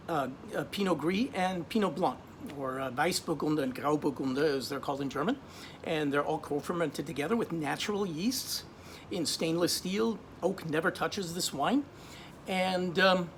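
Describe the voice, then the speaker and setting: A middle-aged man from Austria, casually presenting a wine in a relaxed, chatty way, full of ums and restarts. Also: on the high side, a little hiss or hum. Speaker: wine shop buyer. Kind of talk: casual wine tasting video.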